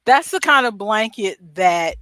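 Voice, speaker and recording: A woman's voice: mid-range voice, expressive, a little slow, a bit bright, no echo, faint music behind. A woman chatting casually as co-host of a livestream. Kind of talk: casual chat.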